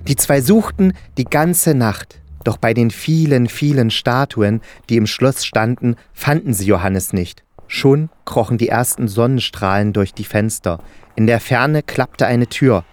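A man's voice: mid-range voice, a little slow, dry recording. A man narrating a scripted children's fairy tale as a storyteller.

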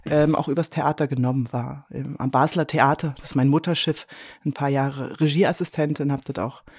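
A woman's voice: low voice, darkish tone, breathy edge, no echo, clean studio sound. A middle-aged woman from Leipzig, a radio drama director talking casually as an interview guest. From Leipzig, Germany. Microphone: close mic in a remote online call.

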